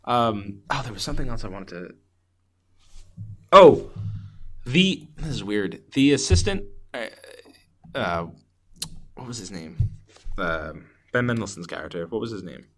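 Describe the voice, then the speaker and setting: A man's voice: fairly low pitch, expressive, a little slow, long pauses. A man co-hosting a podcast, chatting casually about movies and often hedging or backtracking on his opinions. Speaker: podcast co-host. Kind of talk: casual movie discussion chat.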